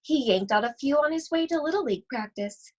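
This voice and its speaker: A woman reading a children's storybook aloud expressively, with deliberate, suspenseful phrasing and drawn-out words. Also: sits high, lively intonation, highs cut off. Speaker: school library read-aloud host.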